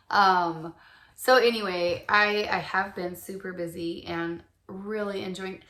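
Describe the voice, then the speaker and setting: A middle-aged woman, a little hoarse from shouting, co-hosting a casual podcast and gently asking questions. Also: on the low side, reverberant. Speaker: podcast co-host. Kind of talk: casual chat.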